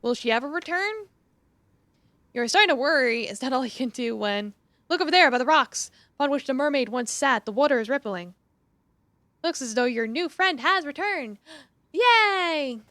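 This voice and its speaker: A woman live streamer reading a story game aloud in an expressive, theatrical way, with casual asides. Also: high voice, moderate pace, no echo. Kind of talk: dramatic read-aloud of visual novel with casual asides.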